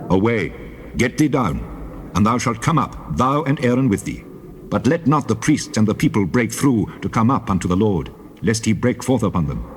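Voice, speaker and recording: A man playing God as a stern lawgiver in dramatized scripture, with measured, authoritative, formal archaic delivery on an old cassette. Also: lowish voice, big pitch swings. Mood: stern, commanding. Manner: solemn, measured, authoritative declamation. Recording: noisy old cassette transfer.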